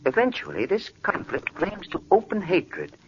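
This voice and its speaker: An actor playing a worried, earnest hospital doctor in 1940s radio drama, speaking with dramatic urgency. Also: male voice, high-pitched, huge pitch swings, moderate pace, a bit bright, exaggerated voice. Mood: ominous. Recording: muffled, faintly noisy old radio recording.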